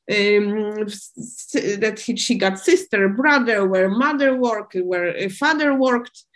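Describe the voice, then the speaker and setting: A woman historian speaking English as a with many pauses, fillers and restarts, describing her archival work on a panel. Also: a little high, poor audio. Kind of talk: panel discussion answer.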